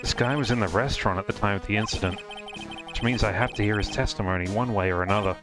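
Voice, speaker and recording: A man voicing an earnest young male lawyer, by turns deliberate and flustered, in a live fan dub of a game. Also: on the low side, music in the background, lightly acted. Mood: frustrated. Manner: deliberate accusations, flustered hesitant asides.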